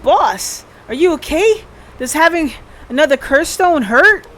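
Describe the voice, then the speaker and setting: Streamer doing an excitable high-pitched junior cop sidekick. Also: a little slow, a little hiss or hum. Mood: worried. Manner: urgent, exclaiming, eager.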